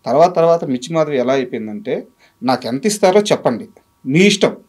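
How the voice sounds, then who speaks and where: A man with a very quick delivery works as an interviewer. He is deferential and conversational, setting up each question with an explanation before asking it. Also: fairly high pitch, expressive, a little mellow.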